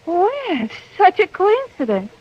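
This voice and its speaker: A woman's voice: very high-pitched, huge pitch swings, slow pace, no room sound, exaggerated voice. An actress playing a poised young Swiss policewoman, speaking formally and courteously in old-time radio style. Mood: friendly, polite. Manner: formal, courteous, careful phrasing. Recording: muffled old radio recording.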